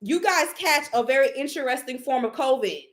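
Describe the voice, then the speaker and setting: Brash, profane high-voiced woman. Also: expressive, a little fast. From Haitian family. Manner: brash, profane, sassy, emphatic. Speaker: psychic reader. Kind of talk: casual tarot reading chat.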